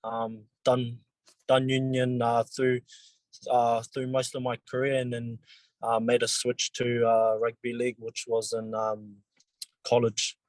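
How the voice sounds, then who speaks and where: A young New Zealand man in his twenties, a rugby league player answering interview questions in a halting, casual way with many pauses and restarts. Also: mid-range voice, monotone, dry recording.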